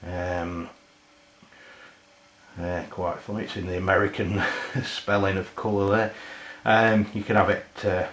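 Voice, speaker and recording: A man with an English accent giving an informal, unscripted hands-on product demonstration, halting and often pausing mid-sentence. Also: sits low, flat delivery, fairly bright tone. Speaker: product reviewer. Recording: roomy, dull lo-fi camera sound.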